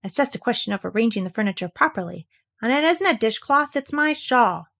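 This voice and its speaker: Woman putting on a huffy, high-pitched old owl. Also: expressive, a little fast, vocal fry, a bit bright, exaggerated voice. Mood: indignant. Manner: huffy, self-important. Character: indignant, pompous old male owl, easily offended. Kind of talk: children's storybook character reading.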